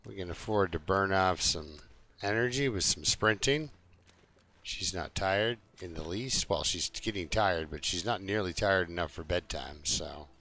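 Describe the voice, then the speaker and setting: A man's voice: deep voice, a little slow, sharp tone. A man live streaming a video game, chatting casually and jokingly to his viewers in an easygoing, rambling way.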